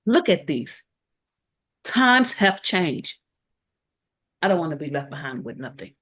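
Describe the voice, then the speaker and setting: A woman's voice: lowish voice, very animated, a little slow. Worried diner owner played by a woman. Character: worried, conflicted diner owner in her forties. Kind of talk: table read of a holiday romance script.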